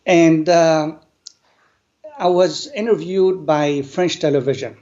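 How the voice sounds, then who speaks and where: A man, an English speaker, telling his life story earnestly and deliberately as an interview guest. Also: on the high side, darkish tone. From Lebanon. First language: not English. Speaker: guest, business owner. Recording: dull lo-fi sound.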